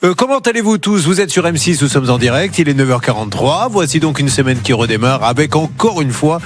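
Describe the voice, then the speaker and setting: A man hosting a live morning TV show, his voice sitting a little high for a man. He teases his colleagues with dry jokes and then moves through case details at a steady presenter's clip. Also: fairly quick, no room sound, soft background music. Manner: teasing, joking banter with colleagues. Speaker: TV show host. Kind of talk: live television consumer-help show. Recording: broadcast TV audio.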